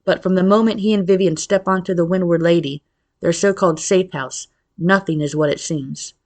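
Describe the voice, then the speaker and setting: A medium-pitched narrating voice reads scripted promotional copy. The delivery is dramatic, in the style of a suspense book trailer. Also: fairly even intonation, normal speed, a little mellow.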